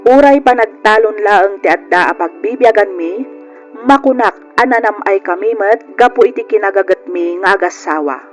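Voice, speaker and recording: A woman's voice: mid-range voice, moderate pace, soft background music, lightly acted. An actress playing a married farming mother narrating her life story in an Ilocano radio drama, with a Filipino accent. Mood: reflective.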